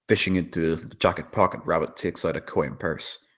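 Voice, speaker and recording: A man with a low voice narrates a screenplay. He reads the action and scene descriptions as dramatic scripted storytelling. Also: steady tone, fairly quick, fairly bright tone. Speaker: narrator reading stage directions.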